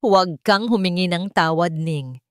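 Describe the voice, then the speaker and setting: A woman narrator voicing a frail, loving elderly nanny in a story, with a weak, soft voice. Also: lowish voice, moderate pace, dry recording, studio quality, lightly acted. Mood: tearful, reassuring.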